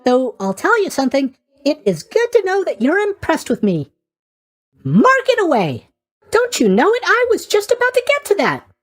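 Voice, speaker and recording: A man's voice: very high-pitched, wildly swooping pitch, moderate pace, a bit bright, exaggerated voice. A man voicing a chatty, eager-to-please young god of sleep in a video game, rambling along with bubbly asides. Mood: cheerful. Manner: rambling, bubbly, hesitant asides, tag questions. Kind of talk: video game character voice acting.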